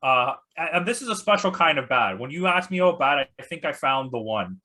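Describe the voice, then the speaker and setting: A man's voice: medium pitch, expressive, fairly quick, pushed and bright. A man in a casual, opinionated discussion, speaking in a blunt, informal and emphatic way. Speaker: guest movie critic.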